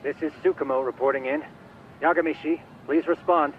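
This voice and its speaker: A man's voice: on the high side, fairly even intonation, fairly quick, muffled recording, slight background noise, lightly acted. A man playing a polite, eager, nerdy tech expert in a video game, speaking precisely and formally. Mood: brisk, reporting. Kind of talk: video game cutscene dialogue.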